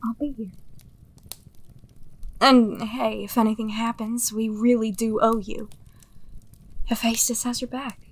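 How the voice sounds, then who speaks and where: A woman's voice: fairly high pitch, flat delivery, unhurried, pauses often. A woman acting a weary, streetwise underworld survivor in a fantasy audio drama, reassuring and wry, with a casual, coaxing manner. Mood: tired, reassuring.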